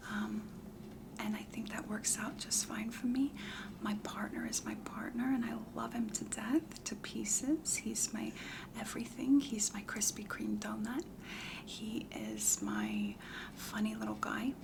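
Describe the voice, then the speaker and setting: A woman playing a self-assured, chatty matchmaker in a comedy ASMR roleplay, whispering softly in a deliberate, confiding, matter-of-fact way. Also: medium pitch, background noise. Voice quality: breathy whisper with heavy creak. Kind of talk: soft-spoken whispered ASMR roleplay questionnaire.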